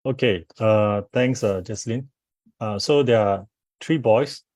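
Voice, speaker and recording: Male panelist, lowish register, very slow casual delivery, dry recording. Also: a little mellow, no room sound.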